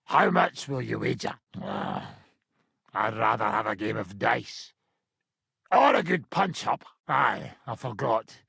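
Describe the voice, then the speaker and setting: An actor playing a brash, foul-mouthed medieval mercenary commander in a video game, with a rough, raspy voice and a coarse, sardonic swagger. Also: male voice, mid-range voice, big pitch swings, slow, bright, forceful tone, no room sound, studio quality, heavily acted. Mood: mocking, joking. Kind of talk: video game character acting.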